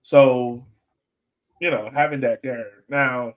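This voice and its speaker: A man's voice: medium pitch, steady tone, slow, muffled recording. A man hosting a soccer podcast in casual, conversational talk. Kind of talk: casual chat.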